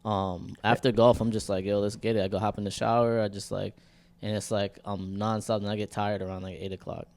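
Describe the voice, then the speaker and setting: A man's voice: lowish voice, flat delivery, a little fast, a little mellow. A young man co-hosting a podcast, telling stories in loose, profane slang. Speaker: podcast co-host. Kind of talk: casual chat.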